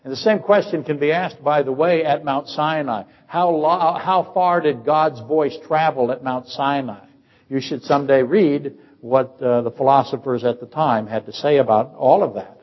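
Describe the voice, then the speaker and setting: Husky older pastor preaching in a hall. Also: male voice, medium pitch. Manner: teaching through rapid rhetorical questions. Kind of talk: sermon. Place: church sanctuary. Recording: dull, echoey church sermon recording.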